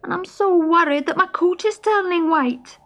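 A woman's voice: extremely high pitch, normal speed, a bit bright, voice pushed up. A woman voicing a small, anxious male stoat in a children's story, plaintive and pleading. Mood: worried. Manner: plaintive, exclaiming.

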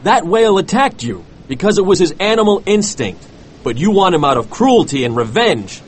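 A man plays a grim, principled whaling mate in an audiobook story. His voice sits a little high and moves expressively, earnest and protesting. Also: big pitch swings, normal speed, a little hiss or hum. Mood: defiant. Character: grim, cautious, principled, practical ship's mate. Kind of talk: dramatized audiobook character voice.

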